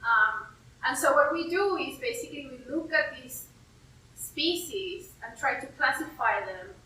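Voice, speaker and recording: In a very reverberant room, a woman from Peru talks a little away from the mic. Her voice is on the high side and clearly bright. She explains her field informally, like a curator walking a visitor through it in simple terms. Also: expressive, measured pace. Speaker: university herbarium curator and plant taxonomist. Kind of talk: informal explanatory interview for an online video. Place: indoor herbarium room. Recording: echoey room, distant mic, faint noise.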